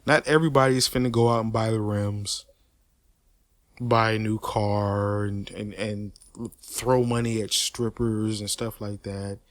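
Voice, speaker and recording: A man's voice: on the low side, unhurried, dry recording, studio quality. A man delivering an emphatic, unscripted opinion rant, swearing freely and restarting words often. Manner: animated, emphatic and repetitive, with frequent restarts and stutters; swears freely, street slang. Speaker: host of a personal commentary channel.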